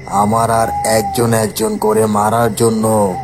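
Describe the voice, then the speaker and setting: A man's voice: on the low side, little pitch movement, slow, music in the background. A man playing a smug, scheming village villain in a horror cartoon, mocking and menacing.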